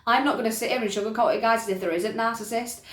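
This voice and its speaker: A woman's voice: fairly high pitch, fast talker, fairly bright tone. A woman giving an informal, emphatic explanatory talk as a spiritual teacher, repeating her points and often checking in with her listeners. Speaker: spiritual teacher presenting a self-help video. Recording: echoey, roomy sound.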